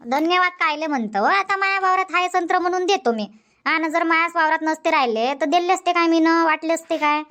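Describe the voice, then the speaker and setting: A woman's voice: extremely high pitch, a little fast, fairly bright tone. A woman voicing a bossy, chatty village farm woman in a cartoon comedy, insistent and scolding, with a Varhadi Marathi accent from Vidarbha. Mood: matter-of-fact, pressing. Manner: insistent, scolding, rhetorical questioning.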